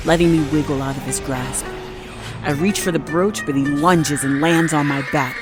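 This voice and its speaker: Low-voiced, guarded nurse over music. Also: female voice. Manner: intimate, suspenseful, acted delivery. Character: guarded, scheming nurse narrating her revenge tale. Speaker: actress narrating an audio drama. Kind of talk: dramatic first-person audio fiction narration. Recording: dramatic music behind the voice.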